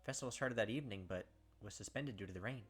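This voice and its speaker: A man's voice: fairly low pitch, fast, husky, faint music behind. A man hosting a let's play, reading the story aloud and chatting casually, with lots of filler words. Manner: chatty, filler-heavy with frequent "like". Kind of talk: casual game commentary and read-aloud.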